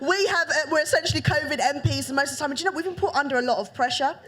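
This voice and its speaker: High-voiced woman, chatty rally chair. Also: very fast, fairly bright tone. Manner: chatty, laughing, with fillers. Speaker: member of parliament chairing a rally. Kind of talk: casual introduction between speakers.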